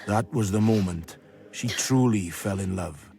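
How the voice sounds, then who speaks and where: An actor playing an eloquent storyteller, narrating a radio drama in a measured, florid way. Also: male voice, on the low side, vocal fry, noisy background.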